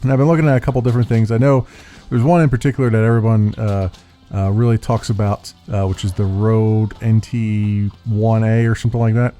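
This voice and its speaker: Deep-voiced man rambling through a channel update. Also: level tone. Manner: halting, full of uhs and restarts. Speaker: YouTube gaming channel host. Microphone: USB desktop condenser mic. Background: soft background music.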